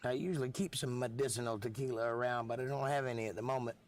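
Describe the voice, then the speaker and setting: A middle-aged man talks in a relaxed, folksy way to the viewers of his live stream. His voice is hoarse and worn because he is losing it. He sits at a mid pitch, and the sound is dry, with no room around it. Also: fast, no echo. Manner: laid-back, chatty, folksy. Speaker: live stream host and musician.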